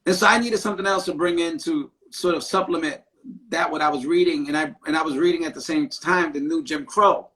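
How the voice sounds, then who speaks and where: A man hosting a casual live-stream talk, speaking in an animated, emphatic way and often ending thoughts with "right?". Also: on the high side, a little fast, low-quality recording. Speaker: podcast host; therapist.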